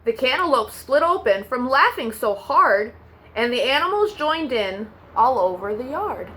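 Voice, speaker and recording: A woman hosting a children's storytime, reading a rhyming picture book aloud in an expressive, sing-song way. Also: a little high, unhurried, low-quality recording.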